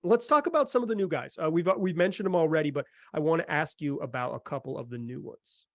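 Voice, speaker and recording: A man's voice: fairly high pitch, expressive, quick pace, creaky, dry recording. A man hosting a sports podcast, talking informally and repeating phrases for emphasis, close on the microphone. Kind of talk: casual sports talk and analysis.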